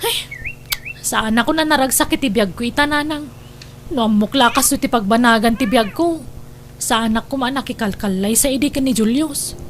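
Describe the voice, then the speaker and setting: A woman's voice: a little high, normal speed, a little hiss or hum, close to an everyday voice. A woman playing a discontented, worried young wife in an audio drama, with an Ilocano Filipino accent. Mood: sulky, bitter.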